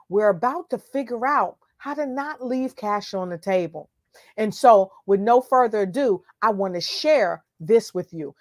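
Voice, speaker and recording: A woman hosting an online event, excited and whooping as she brings in the guests. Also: medium pitch. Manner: excited, whooping, hypes up the crowd. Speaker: event host.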